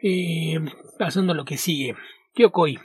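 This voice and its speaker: A man with a somewhat high, husky voice, talking in a casual podcast. He explains at length and in a steady way, often circling back to restart his phrases. The sound is close and dry, with little room. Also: unhurried, no echo. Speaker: podcast co-host, comic translator.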